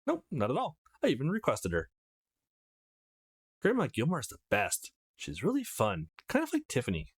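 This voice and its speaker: A man's voice: a little high, wildly swooping pitch, moderate pace, husky, exaggerated voice. A man voicing a brash, foul-mouthed teenage girl from a visual novel, teasing and bragging in a cheeky, crude way. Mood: enthusiastic. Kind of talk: reading visual novel dialogue aloud.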